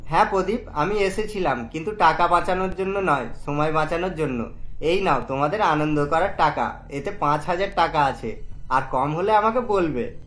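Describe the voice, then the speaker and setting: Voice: mid-range voice, moderate pace, fairly bright tone, close to an everyday voice. An actor playing a sensible, principled young bridegroom in a cartoon, explaining things earnestly. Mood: earnest, calm.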